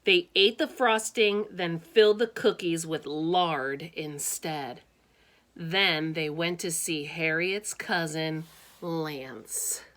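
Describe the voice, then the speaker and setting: A woman reading a children's storybook aloud with expressive, deliberate phrasing and casual asides. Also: deep voice.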